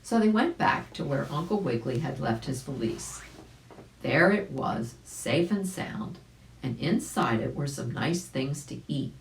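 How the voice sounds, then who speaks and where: An older woman in her seventies narrates a children's storybook at an unhurried pace. Her voice is low with very lively intonation, recorded in a roomy-sounding room. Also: a little hiss or hum. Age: older, about 70s. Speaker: volunteer storybook reader. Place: small indoor room at home. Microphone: camera a few feet away.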